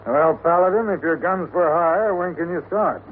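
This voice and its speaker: Guy playing curt railroad agent, highish voice, matter of fact, tinny old radio western. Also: normal speed, a little hiss or hum, natural voice. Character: curt, businesslike male railroad agent hiring guns. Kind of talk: 1950s western radio acting.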